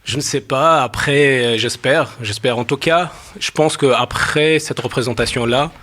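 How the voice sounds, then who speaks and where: A man, an actor whose first language is Arabic, calmly and earnestly recounting a debate with a student. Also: medium pitch, measured pace. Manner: calm, earnest, hesitant fillers. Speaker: stage actor. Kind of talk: interview anecdote.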